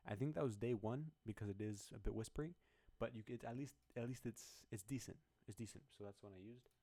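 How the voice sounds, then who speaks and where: A man with a very low voice casually walking through his own song's project file, rambling and poking fun at himself. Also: fast, dark tone. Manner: rambling, self-deprecating, many restarts. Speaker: musician explaining his song.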